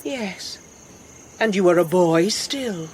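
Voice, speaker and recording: A languid, middle-aged Spanish noblewoman of the radio play. She speaks very slowly, commanding and brooding, like one used to being obeyed. A faint background noise of outdoor insects sits behind her. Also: lowish voice, lively intonation, darkish tone. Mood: imperious, languid. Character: languid, imperious older Spanish noblewoman, sun-weary mother. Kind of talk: radio drama acting.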